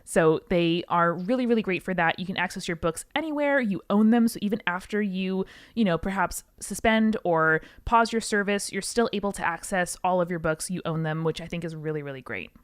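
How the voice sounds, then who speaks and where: A woman's voice: lowish voice, fairly quick, hardly any pauses, dry recording, studio quality. A woman co-hosting a podcast, talking in a casual, chatty style. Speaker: podcast co-host. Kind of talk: casual chat.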